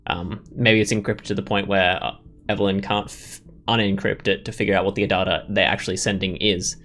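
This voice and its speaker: A man's voice: low voice, flat delivery, brisk, fairly bright tone, faint music behind. Rambling man doing game commentary. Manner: rambling, thinking aloud. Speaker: gaming video host. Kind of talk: casual let's play commentary.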